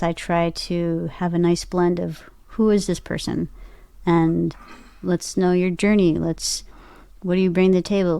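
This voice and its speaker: A woman with a slightly low voice talks as a podcast guest. Her delivery is conversational and informal, and the recording is close and dry. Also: warm tone, smooth, no echo. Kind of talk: casual interview chat.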